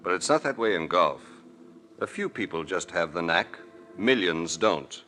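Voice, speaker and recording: Deep-voiced, cool radio adventurer. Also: male voice, expressive, unhurried. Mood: wry, composed. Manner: dry, composed, articulate. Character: cool, dryly witty male adventurer-detective narrating his case. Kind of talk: 1940s radio drama narration and dialogue. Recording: vintage radio recording with faint noise.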